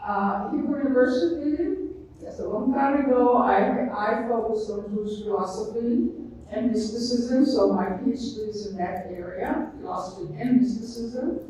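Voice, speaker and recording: A woman's voice: a little high, dark tone, a little hiss or hum. An older woman with an Israeli accent gives a loose, chatty university lecture, with many "uh" and "so" restarts. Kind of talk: university lecture to a live audience. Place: large echoey lecture room. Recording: echoey room from far off.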